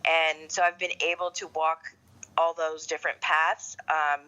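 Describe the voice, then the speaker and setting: Middle-aged woman, bright forceful voice. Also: deep voice, level tone, unhurried, smooth, poor audio. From Canada. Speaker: podcast guest. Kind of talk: conversational interview.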